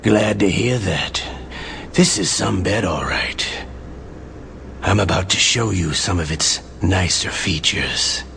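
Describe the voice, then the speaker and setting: Sly, sadistic game interrogator. Low, creaky, mockingly menacing drawl. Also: male voice, lively intonation, slow, bright, forceful tone, a little hiss or hum, full character voice. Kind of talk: dramatic video game acting.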